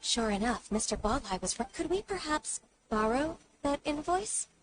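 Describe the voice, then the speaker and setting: An earnest young secretary from a video game. Her high voice moves with lots of melody, crisp and forthright yet polite, over a noisy recording. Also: sing-song, normal speed. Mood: polite, direct. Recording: clear noise over game audio.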